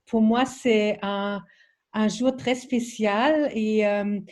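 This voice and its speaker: German-accented woman, slow and hesitant. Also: mid-range voice, steady tone, a little mellow. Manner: hesitant, many fillers and restarts. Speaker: presenter of a training webinar. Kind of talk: online training presentation.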